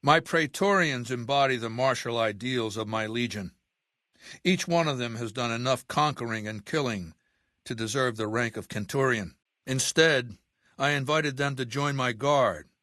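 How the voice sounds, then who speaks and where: A man acting as an imperious middle-aged warlord from a video game, speaking at a medium male pitch in a very dry studio sound. He lectures in articulate, commanding sentences, with open contempt for whoever is beneath him. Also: normal speed, pushed and bright, no echo, natural voice. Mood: cold, lecturing.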